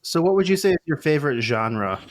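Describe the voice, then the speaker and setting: A man's voice: on the high side, very animated, brisk, no echo. A man acting as interviewer, putting questions in a casual, conversational way.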